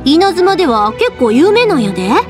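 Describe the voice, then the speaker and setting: An actress playing a cheerful young fireworks-shop owner with a Kansai accent, lively and chatty. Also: female voice, very high-pitched, normal speed. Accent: Kansai Japanese. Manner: lively, chatty, warm teasing. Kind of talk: game voice acting. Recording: game audio with music behind.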